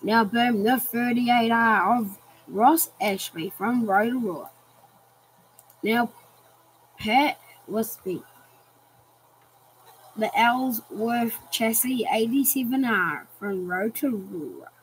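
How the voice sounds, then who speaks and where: Slow, high-voiced New woman commentator. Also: a little hiss or hum, poor audio. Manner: eager, hype-building questions. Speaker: race commentator for a racing game. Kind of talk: casual hobby race commentary.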